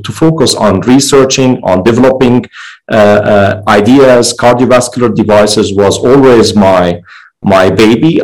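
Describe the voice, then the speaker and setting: A man from Lebanon answering interview questions about his career, speaking haltingly with many fillers and restarts. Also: fairly low pitch, darkish tone, rough recording. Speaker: regulatory consultant, guest.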